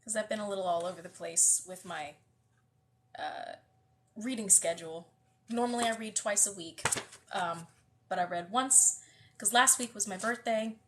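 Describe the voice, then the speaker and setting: A woman's voice: medium pitch, normal speed, long pauses, fairly bright tone, slight background noise. Chatty woman with a stuffy nose. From US West Coast. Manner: chatty, friendly, many fillers and restarts. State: slightly nasal, congested. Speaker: host of a live book reading. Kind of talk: casual livestream chat greeting viewers.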